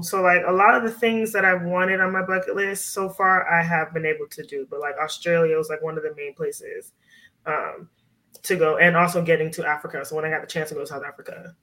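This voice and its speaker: A woman's voice: deep voice, bright, forceful tone, rough recording. A woman in her thirties from Miami, a nurse practitioner chatting casually as a podcast guest and using lots of "like" and "you know". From Miami, USA. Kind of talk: casual interview chat.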